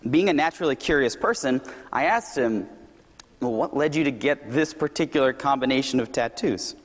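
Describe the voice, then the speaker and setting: Slightly hoarse young preacher in a reverberant hall. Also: male voice, medium pitch, normal speed. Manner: relaxed, self-deprecating and conversational. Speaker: youth director and occasional preacher. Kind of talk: sermon. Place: large church hall.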